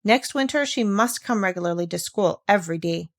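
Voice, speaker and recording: A woman's voice: mid-range voice, expressive, fairly quick, no echo, studio quality, close to an everyday voice. A woman voicing a kindly old village pastor in a storybook, earnest and formal in manner. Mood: reproachful.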